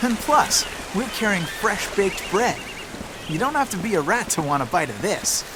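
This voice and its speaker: A man's voice: high-pitched, big pitch swings, normal speed, noisy background. A man playing a cocky, plucky teenage boy with a breezy, self-assured manner in a video game cutscene. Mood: casual reassurance.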